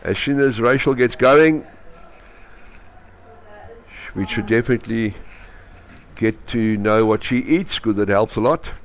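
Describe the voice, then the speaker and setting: A man's voice: mid-range voice, a little slow, pauses often. South African man commentating live sport. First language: Afrikaans. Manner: partisan, cheering on his team.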